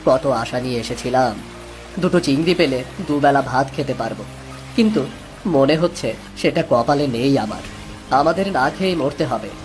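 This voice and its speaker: A man's voice: medium pitch, lively intonation, normal speed, a little mellow, close to an everyday voice, low-quality recording. Man playing a homely village father over music. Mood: despairing. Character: patient, pious village father, a poor farmer.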